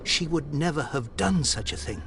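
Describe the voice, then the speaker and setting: A man's voice: a little high, lively intonation, normal speed, creaky, a little hiss or hum. A man voicing a proud, grieving older industrialist in a video game, speaking formally and sternly. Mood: pleading. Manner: formal, haughty and pleading. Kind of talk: game voice acting.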